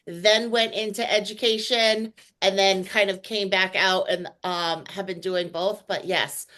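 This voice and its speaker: A woman's voice: mid-range voice, steady tone, measured pace, pushed and bright. A woman hosting an interview, upbeat and affirming, with casual fillers. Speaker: podcast host.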